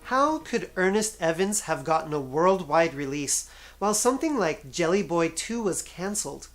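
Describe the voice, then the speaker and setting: Low-pitched woman, wry game review narration. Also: big pitch swings, normal speed, soft background music. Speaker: video game reviewer, show host.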